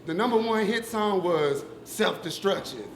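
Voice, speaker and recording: Loud man in an echoey room. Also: high-pitched, lively intonation, measured pace, a little hiss or hum. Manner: loud, speaks without a mic. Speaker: audience member asking a question. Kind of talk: open discussion at a community event.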